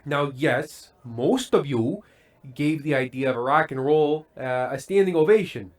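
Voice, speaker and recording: A man playing a hesitant, uneasy old-fashioned TV variety host with halting, folksy patter, in the style of an old-time radio play. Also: fairly high pitch, expressive. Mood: folksy, awkward showman.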